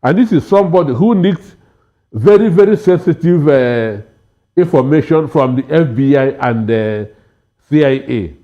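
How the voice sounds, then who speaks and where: Dull-sounding, halting man commentating. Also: fairly high pitch, lively intonation, dark tone. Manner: halting, repeats words emphatically. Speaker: panel guest, commentator. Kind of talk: political panel commentary. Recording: dull, lo-fi broadcast audio.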